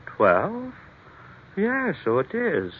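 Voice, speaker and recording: A man's voice: high voice, huge pitch swings, drawn-out words, slight background noise, full character voice. A male actor playing a confused, forgetful, apologetic husband in an old-time radio mystery, speaking in a hesitant, faltering way. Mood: dazed. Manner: hesitant, faltering, repeats himself. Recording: tinny, muffled old radio broadcast.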